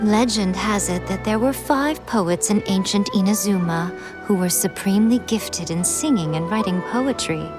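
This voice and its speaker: A woman's voice: medium pitch, natural voice. A woman voicing an elegant, sly, teasing priestess in an anime game, with a poised, playful, condescending manner. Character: elegant, sly, teasing adult noblewoman, shrine priestess and publisher. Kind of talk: anime game character acting. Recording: music behind the voice.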